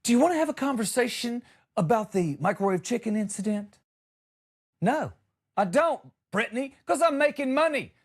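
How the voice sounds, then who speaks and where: A man's voice: extremely high pitch, expressive, normal speed, slightly rough. A man hosting a comedy show, delivering a conversational monologue with exasperated, emphatic punchlines.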